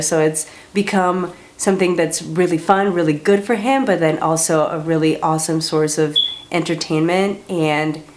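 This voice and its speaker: A woman from Colombia, talking to her camera about everyday family life in a relaxed, upbeat way. Her voice sits a little lower than most women's. She is chatty and loose, and she drops in filler words as she goes. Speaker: parenting vlogger. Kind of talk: casual chat to camera.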